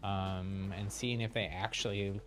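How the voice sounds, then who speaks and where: A man's voice: low voice, steady tone, a little slow, fairly bright tone, faint music behind. A man doing casual live gameplay commentary as a streamer, chatty and hesitant as he thinks aloud. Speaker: game streamer.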